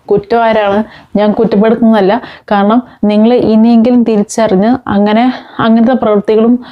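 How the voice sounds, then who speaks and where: A woman's voice: on the high side, level tone, normal speed. Woman explaining, slightly muffled lo-fi sound. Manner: informal, mixes in English words. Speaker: host of a parenting talk. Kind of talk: explanatory talk to viewers with examples.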